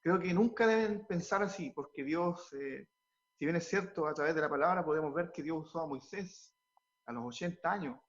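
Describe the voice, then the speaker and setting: Earnest pastor with a halting delivery. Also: male voice, a little high, a bit bright, lo-fi sound. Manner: earnest, halting with repeated words. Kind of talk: interview answers, turning preachy.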